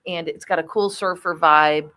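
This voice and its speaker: A woman's voice: lowish voice, fairly even intonation, normal speed, pushed and bright. A woman hosting a casual interview show, talking in a chatty, enthusiastic way. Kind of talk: casual chat.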